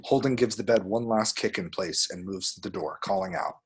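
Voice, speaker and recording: Man, low husky voice, screenplay narrator, vivid table-read storytelling. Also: a little fast. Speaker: reader of action descriptions.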